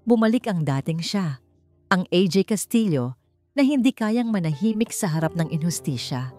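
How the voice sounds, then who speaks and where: Woman storyteller, low pitch, animated delivery, measured pacing, soft music behind. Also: no room sound. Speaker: audiobook narrator. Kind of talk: scripted storybook narration.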